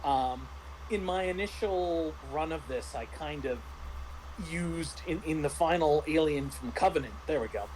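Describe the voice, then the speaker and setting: A man talking casually as a game master discussing a roleplaying game, explaining in a halting, rambling way. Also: on the high side, darkish tone, a little hiss or hum, low-quality recording.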